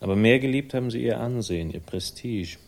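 A man's voice: medium pitch, expressive, brisk, vocal fry. An actor in a 1960s crime radio play, playing a wry, laconic man out to avenge his sister and narrating it himself. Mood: cold, accusing. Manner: dry, sardonic, laconic. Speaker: lead character and first-person narrator.

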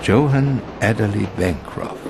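A man narrating a radio drama in a measured, atmospheric, literary manner. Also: fairly low pitch, big pitch swings, gravelly, music in the background.

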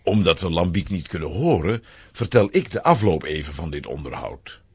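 A man narrating a scripted children's audio play as an expressive storyteller. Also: low-pitched, normal speed, husky, no echo.

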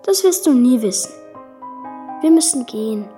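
An actress in a radio play playing a knowing, melancholy woman from a dream who speaks with measured tenderness. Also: high voice, animated, dark tone. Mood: tender. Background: music.

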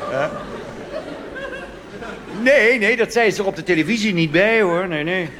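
A man's voice: high-pitched, unhurried, bright, forceful tone, a little hiss or hum. A Dutch man performing a chatty, wry cabaret monologue full of asides, recorded live on stage. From Netherlands. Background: audience laughter and applause.

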